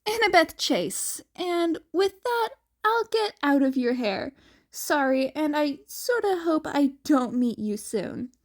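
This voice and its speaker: A woman's voice: high voice, animated, slow, full character voice. A woman voicing an anxious, emotional teenage girl who speaks emphatically in a fan fiction story. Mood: awkward. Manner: exclaiming, emphatic.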